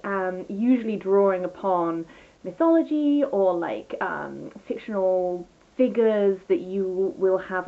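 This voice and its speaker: A woman's voice: medium pitch, phone-quality sound. A woman chatting casually through a book review as a vlogger, rambling and enthusiastic, often interrupting herself.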